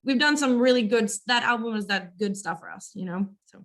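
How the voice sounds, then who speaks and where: A young woman with a naturally raspy voice, a rock singer chatting casually and hesitantly as an interview guest. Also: a little high, fairly quick. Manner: casual, hesitant, laughs often. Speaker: band vocalist, interview guest.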